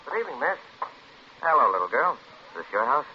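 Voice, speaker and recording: A man's voice: medium pitch, big pitch swings, quick pace, natural voice. An actor playing an insistent, half-apologetic male newspaper reporter with an American accent in a 1950s radio drama. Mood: pushy. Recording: muffled old radio broadcast, faint hiss.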